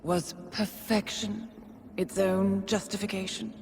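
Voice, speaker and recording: An actress playing a cold, mocking female handler in a video game, speaking in a measured, taunting way. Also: mid-range voice, flat delivery, vocal fry, darkish tone. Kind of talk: video game cinematic voice acting. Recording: noisy, long cavernous reverb.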